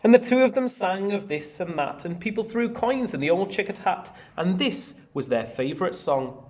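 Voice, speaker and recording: Animated man reading a children's story. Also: high voice, normal speed. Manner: animated, sing-song rhyming read-aloud. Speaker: primary school teacher reading a storybook. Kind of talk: expressive children's storytelling with chatty asides.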